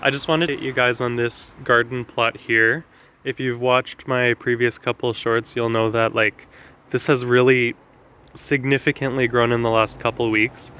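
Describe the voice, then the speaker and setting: A man with a slightly raspy voice from allergies, talking casually and explaining things with lots of fillers as he walks through his garden. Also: mid-range voice, level tone, normal speed, bright, forceful tone. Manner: chatty, explanatory, with frequent fillers. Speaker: gardening vlogger. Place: outdoor garden.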